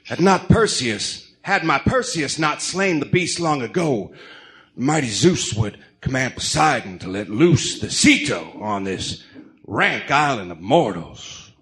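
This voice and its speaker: A bombastic self-styled Greek god, wildly swooping. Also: male voice, mid-range voice, big pitch swings, unhurried, a bit bright. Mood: outraged, imperious. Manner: grandiose, theatrical, archaic diction. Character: delusional crime boss who believes he is Zeus. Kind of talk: amateur audio drama acting.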